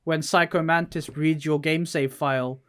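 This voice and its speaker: A man's voice: fairly high pitch, steady tone, normal speed, a bit bright, smooth. A man co-hosting a casual gaming podcast, thinking aloud informally and posing questions as he goes. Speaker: podcast host.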